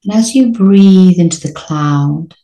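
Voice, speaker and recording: A woman with a low, mellow voice leads a sleep meditation. She speaks very slowly and soothingly, leaving long gaps between short phrases. The sound is roomy, with a noticeable echo around her gentle delivery. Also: animated. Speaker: meditation guide.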